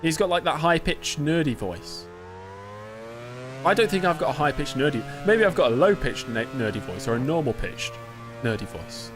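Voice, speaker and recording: Young british dude chatting away on a live game stream, laughs at himself, normal pitch. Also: male voice, big pitch swings, normal speed, over music. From Britain. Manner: chatty, self-mocking, answers chat aloud. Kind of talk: casual live-stream commentary.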